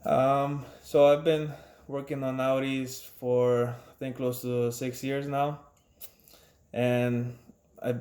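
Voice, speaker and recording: Laid-back man, low flat voice, echoey room. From Ecuador. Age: 30s. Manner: relaxed, halting, many fillers and restarts. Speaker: auto mechanic. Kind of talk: casual self-introduction.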